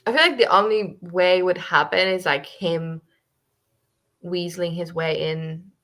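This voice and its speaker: Woman on a video call, low-ish gravelly voice, chatty book talk, lots of um and like. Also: fairly even intonation, a little slow, a bit bright. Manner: chatty, thinking aloud with many fillers. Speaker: book club co-host. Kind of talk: casual book discussion.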